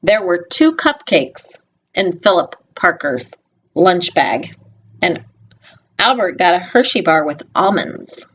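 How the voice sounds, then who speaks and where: A woman reading a picture book aloud to young children, expressive, with informal, gently instructive asides spoken directly to them. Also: fairly low pitch, takes it slowly, a little hiss or hum. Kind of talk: read-aloud storytelling with chatty asides. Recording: dull lo-fi home video sound.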